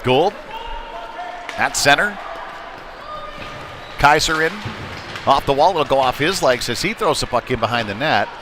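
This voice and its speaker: Male sports commentator, mid-range voice, folksy live hockey call, clearly noisy venue behind him. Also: mid-range voice, animated, unhurried, pauses often. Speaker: play-by-play commentator. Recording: clear background rink noise.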